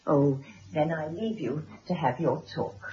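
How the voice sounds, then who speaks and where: A woman's voice: low voice, measured pace, mellow, husky, full character voice. A woman playing a middle-aged, genteel and conciliatory wife and mother in a radio drama. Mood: polite, soothing. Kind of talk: 1970s radio drama acting. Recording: dull, noisy vintage radio recording.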